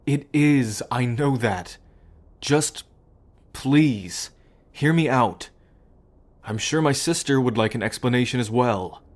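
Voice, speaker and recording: Estranged brother, male actor: slow delivery, average male pitch, pleading, apologetic, hesitant, audio roleplay. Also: natural voice. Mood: contrite pleading. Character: apologetic, earnest man on the outs with the mob, pleading brother.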